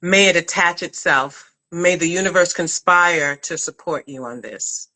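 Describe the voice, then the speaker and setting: A woman's voice: fairly low pitch, measured pace, bright, forceful tone, poor audio. A woman interviewing casually as an acting coach, with encouraging, affirming interjections.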